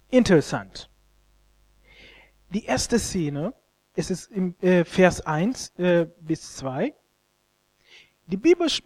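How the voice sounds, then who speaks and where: A man, a Luganda speaker, preaching a sermon in an expressive, halting way and often stumbling over words. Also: high voice. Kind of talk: sermon with scripture reading and commentary.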